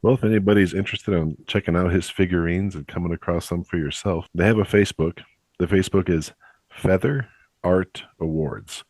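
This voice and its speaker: A young man hosting a podcast, chatting in a relaxed, upbeat, conversational way. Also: sits low, brisk, no echo. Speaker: podcast host. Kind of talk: casual podcast chat.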